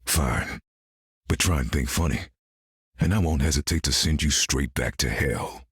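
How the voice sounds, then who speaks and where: An actor playing a gruff, wry half-vampire swordsman in a video game, with a gravelly, terse delivery. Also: normal speed, fairly bright tone, dry recording, studio quality, full character voice. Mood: grim. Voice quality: gravelly, very deep.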